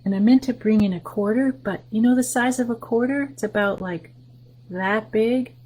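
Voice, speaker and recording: A woman hosting a library story time, reading a picture book aloud to children and explaining the pictures in a gentle voice that often drops to a soft whisper. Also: on the high side, normal speed, a little hiss or hum, low-quality recording.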